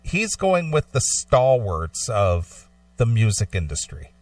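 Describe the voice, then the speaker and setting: A man co-hosting a casual, opinionated radio discussion, explaining his points haltingly and often repeating phrases. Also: on the low side, very animated, far from the mic. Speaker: talk show co-host.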